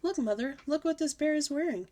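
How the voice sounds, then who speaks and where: A woman reading aloud puts on a high, bossy little girl who can barely sit still. Her voice pushes upward and gets loud as she wheedles and demands, breathless with excitement, like a storybook kid who always wants something right now. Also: fairly quick. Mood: pleading. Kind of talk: audiobook character voice.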